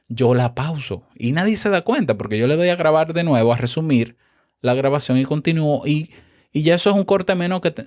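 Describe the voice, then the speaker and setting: A man from the Dominican Republic with a Dominican Spanish accent, hosting a podcast and teaching speaking tips in a casual, conversational way, often checking in with a quick "¿ya?". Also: mid-range voice. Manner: conversational, tag questions like "¿ya?". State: a bit out of breath. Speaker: podcast host. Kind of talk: instructional podcast monologue.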